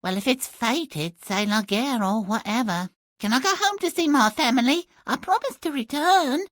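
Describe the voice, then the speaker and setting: A flighty, self-absorbed young heroine from a comic fairy-tale game, played by a man. The voice is high and swings widely in pitch. The talk is breezy and materialistic, with a dry studio sound. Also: animated, normal speed, a bit bright, no echo. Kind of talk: comic video game cutscene acting.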